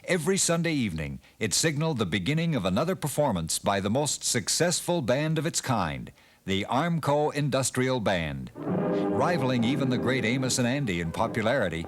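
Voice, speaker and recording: A man giving scripted documentary narration in a measured, expressive storytelling manner. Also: mid-range voice. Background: band music.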